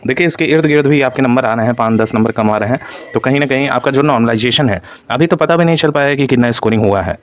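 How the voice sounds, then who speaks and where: Fast-talking North Indian man explaining. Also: medium pitch. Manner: code-mixes English terms. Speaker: exam coaching instructor. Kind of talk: informal explainer for exam candidates.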